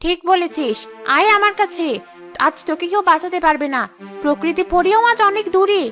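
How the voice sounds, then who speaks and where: A menacing cartoon witch , acted by a woman, speaks in a high voice over music. She taunts and threatens like a fairy-tale villain. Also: a little fast, a bit bright. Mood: gloating threat. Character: menacing female witch hunting a fairy child.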